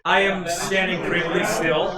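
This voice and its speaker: Man, high-pitched voice, casual player talk, echoey hall, loud crowd behind. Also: flat delivery, normal speed. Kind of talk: casual in-game description. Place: live show at a gaming convention.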